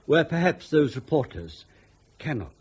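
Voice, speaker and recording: A man's voice: fairly high pitch, big pitch swings, unhurried, highs cut off, noisy background. An actor playing a politely evasive British schoolmaster in a radio drama, formal, hesitant and roundabout in his speech. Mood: awkward, tactful.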